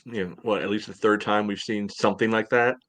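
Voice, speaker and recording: A man in his thirties giving casual sports analysis in a measured, conversational way as a podcast co-host on a video call from home. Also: on the low side, fairly even intonation, fairly bright tone. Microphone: headset on video call.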